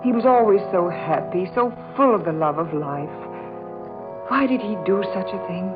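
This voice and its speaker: An actress playing a gentle, troubled young bride-to-be, earnest and plaintive in 1940s radio drama style. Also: female voice, medium pitch, expressive, normal speed, lightly acted. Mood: sorrowful. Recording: dull vintage radio drama recording. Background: music.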